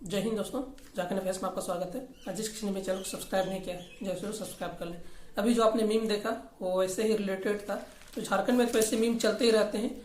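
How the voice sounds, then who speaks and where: A woman's voice: mid-range voice, steady tone, quick pace, darkish tone, a little hiss or hum. A woman hosts informal political commentary, speaking critically and argumentatively and often repeating her points. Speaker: host of a regional affairs channel. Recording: echoey, roomy voice recording.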